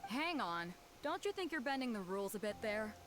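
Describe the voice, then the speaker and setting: A woman's voice: fairly high pitch, very animated, fairly quick, music in the background. A woman voicing a confident, seasoned female sea captain in a video game, self-assured, casual and teasing. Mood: wry.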